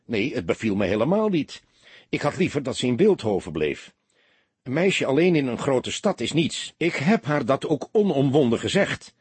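A man's voice: medium pitch, very animated, moderate pace, slightly rough, no echo, voice pushed up. Man playing an anxious, hesitant young soldier. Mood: earnest explaining. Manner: hesitant, stammering, repeats words. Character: anxious grieving young male soldier, earnest and defensive.

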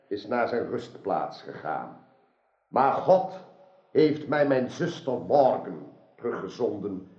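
A man playing a solemn, noble, trusting king in a radio drama, with stately declamation. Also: medium pitch. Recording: dull, roomy old radio recording.

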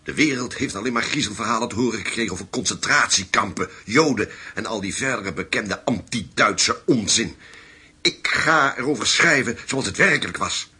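A man's voice: lowish voice, animated, moderate pace, bright, forceful tone, breathy edge, heavily acted. An actor in a radio drama playing a polite, hesitant man who seeks out old comrades. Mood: earnest. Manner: courteous, hesitant.

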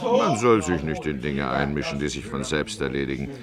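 An actor playing a weary, sardonic town judge in a radio play, shifting between confiding narration and declaimed appeals for peace. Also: male voice, rumbling low, animated, moderate pace, over a noisy crowd. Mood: wry, resigned. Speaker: judge and first-person narrator.

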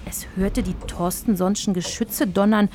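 A woman's voice: mid-range voice, steady tone, normal speed. Woman narrating a children's story. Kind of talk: scripted narration of a children's radio play. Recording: crowd noise and music behind the narration.

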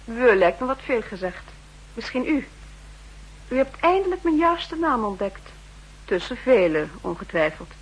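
An actress playing a serious, perceptive young secretary in a 1960s radio drama, reserved, formal and dryly rebuffing. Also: female voice, on the high side, big pitch swings, a little slow, slightly rough, heavily acted, rough recording. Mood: cool, dry.

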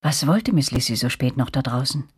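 Low, very husky storyteller. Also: female voice, expressive, moderate pace, no echo, studio quality, heavily acted. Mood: puzzled, wary. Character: reflective woman recalling her frightened girlhood. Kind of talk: first-person radio drama narration.

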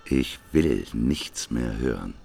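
A rumbling, extremely low male voice as a tired old-world prophet in a radio play. He grumbles curtly in a heavy creak, wanting to be left in peace. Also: lively intonation, slow, mellow, exaggerated voice. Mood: weary, irritable. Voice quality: very deep, gravelly. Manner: curt, grumbling. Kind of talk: radio drama acting.

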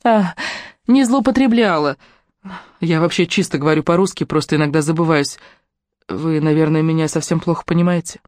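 A narrator voicing a shy but bold young woman who speaks Russian with a Ukrainian accent, in a lively, folksy manner. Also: sits low, fairly quick, dry recording, studio quality, lightly acted. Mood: earnest.

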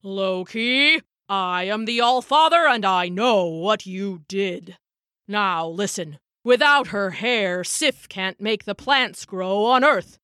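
A woman voicing a grave, commanding old father of the gods in a storybook tale, with slow, stern pronouncements. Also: medium pitch, sharp tone, clear, resonant tone, no echo, studio quality.

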